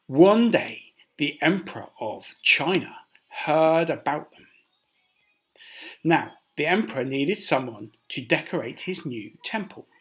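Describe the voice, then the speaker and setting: A man reads a traditional tale to children like a teacher in class. His delivery is unhurried and expressive, with wide swings in pitch and dramatic emphasis on key words. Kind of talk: children's story read aloud.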